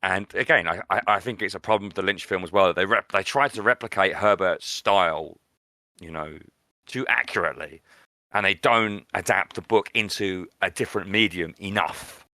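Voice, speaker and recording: Low, bright-voiced man, dry sound. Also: moderate pace, no echo. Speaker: podcast host. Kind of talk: casual film discussion.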